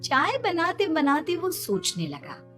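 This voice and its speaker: A woman tells a scripted children's story as its narrator. Her delivery is slow and animated in pitch, with a bright tone. Music runs softly underneath.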